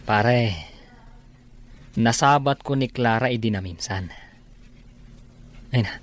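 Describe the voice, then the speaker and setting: A man's voice: mid-range voice, slow, close to an everyday voice. An actor playing a friendly, concerned male buddy in a radio comedy drama. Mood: concerned, urging.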